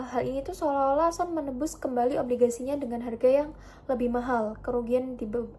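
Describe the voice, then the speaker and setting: High, soft-toned young woman. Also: slight background noise. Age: young adult. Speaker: university student presenter. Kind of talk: academic presentation.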